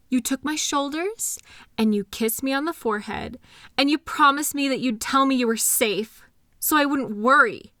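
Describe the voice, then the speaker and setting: A woman acting a hurt, anxious girlfriend in an intimate audio drama, emotional, accusatory and halting as she argues with her partner. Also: high-pitched, sharp tone, no echo, studio quality. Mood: exasperated, reproachful.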